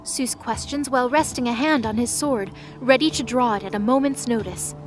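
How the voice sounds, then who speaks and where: A woman's voice: on the high side, normal speed, faint music behind. A woman reading dramatic scripted narration for a fantasy audio drama.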